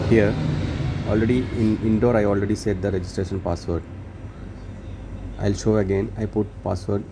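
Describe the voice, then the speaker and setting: A man talking through a software update step by step, hesitant and halting, often restarting words. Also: fairly low pitch, vocal fry. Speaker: tech tutorial presenter. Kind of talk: screen-recorded how-to walkthrough. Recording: noisy room, hum and traffic behind.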